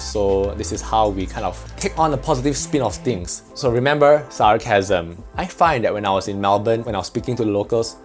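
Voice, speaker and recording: A man from Malaysia with a Malaysian English accent, explaining things in a chatty, joking vlog. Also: lowish voice. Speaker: vlogger.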